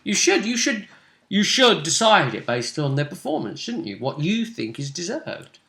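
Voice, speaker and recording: A man's voice: high voice, very animated, moderate pace, vocal fry, fairly bright tone, slight background noise. A man chatting casually and animatedly as a game master, recapping a tabletop role-playing session. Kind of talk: casual chat. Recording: roomy, echoey room sound.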